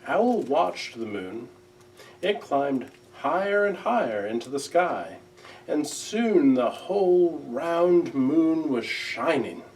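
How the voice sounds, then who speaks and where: A man narrates a children's storybook at a slow, measured pace. His storytelling is gentle and expressive, with lively pitch movement. He sits a little way from the mic, and there is faint noise in the recording. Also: distant mic. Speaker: library director reading aloud.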